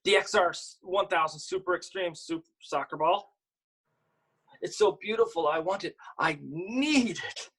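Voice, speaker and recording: A man's voice: sits high, a little slow, fairly bright tone, breathy edge, pitched above the performer's own voice, exaggerated voice, poor audio. A man voicing an eager, wistful little girl with a pleading, sing-song delivery in a picture book read-aloud. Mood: longing.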